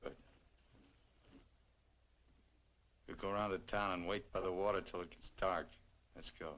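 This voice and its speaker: A man's voice: low voice, steady tone, a little fast, a bit bright. An actor playing a sneering American gunman in a noir film, terse and taunting as he orders his hostages around. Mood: curt. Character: menacing, sneering male gunman holding men hostage. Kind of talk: 1950s film noir acting. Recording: noisy old film soundtrack.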